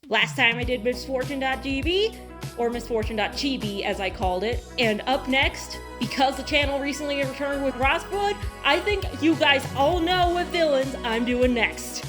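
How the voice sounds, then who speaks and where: A woman hosting her storytelling channel, talking in a chatty, informal way. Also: high voice. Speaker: channel host and story narrator. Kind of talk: dramatic horror reading, then casual chatty outro.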